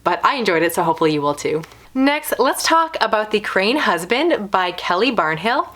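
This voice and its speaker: A woman's voice: medium pitch, big pitch swings, moderate pace, fairly bright tone. A woman reviewing books in a casual video style, talking in long, considered explanations. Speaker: book reviewer.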